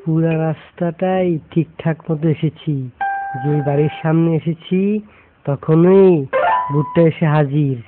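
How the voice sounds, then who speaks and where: A man voicing a stern but kindly village schoolteacher in a cartoon, questioning in a measured way with a Bangladeshi accent. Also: a little high, lightly acted. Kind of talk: cartoon dialogue. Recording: muffled lo-fi audio, music behind.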